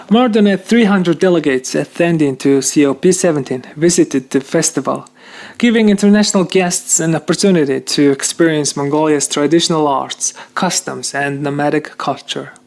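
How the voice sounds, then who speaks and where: A man presenting a read news bulletin over a shortwave radio broadcast, reading carefully with some slips in phrasing. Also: fairly high pitch.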